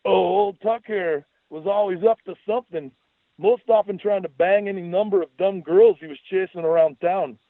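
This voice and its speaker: A man's voice: sits high, normal speed, no echo, voice pushed up. A man doing a manic drunk in a goofy Scottish brogue. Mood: taunting. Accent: put-on Scottish. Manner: bellowing, crude, manic. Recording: tinny phone-like radio sound.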